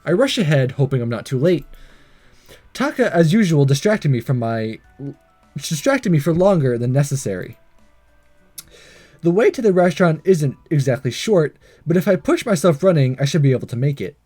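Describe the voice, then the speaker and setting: Male let's player, higher-pitched and lively, mellow tone, stumbles through read-aloud dialogue and narration over music. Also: moderate pace. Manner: stumbles over lines and re-reads them. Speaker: let's play host. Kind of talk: reading visual novel aloud with reaction commentary. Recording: music behind the voice. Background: game music.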